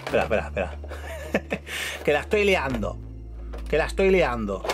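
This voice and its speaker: A man's voice: medium pitch, very animated, normal speed, music in the background. A middle-aged man from Catalonia with a La Mancha accent, chatting enthusiastically to viewers as he walks through a room at home. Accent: La Mancha Spanish. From Catalonia, Spain. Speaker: YouTube host. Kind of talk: casual room tour vlog. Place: home room full of shelves, indoors.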